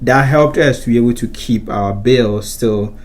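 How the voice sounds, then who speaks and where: A young man from Ghana co-hosting a casual chat about saving money, explaining calmly and easily. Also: mid-range voice, normal speed. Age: young adult. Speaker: co-host of a personal finance channel.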